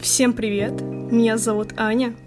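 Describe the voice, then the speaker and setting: A woman's voice: a little high, level tone, a little slow, music in the background. A woman of twenty co-hosting a podcast, telling stories in a chatty way with slang. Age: 20s. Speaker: podcast co-host. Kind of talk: casual chat.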